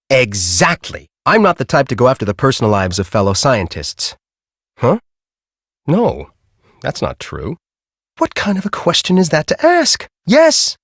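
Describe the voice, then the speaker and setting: Bitter, arrogant scientist, wildly swinging pitch. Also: male voice, fairly bright tone, dry recording, studio quality. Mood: irritated, defensive. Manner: sardonic, condescending, theatrical. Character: bitter, arrogant middle-aged male tornado scientist. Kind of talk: video game character acting.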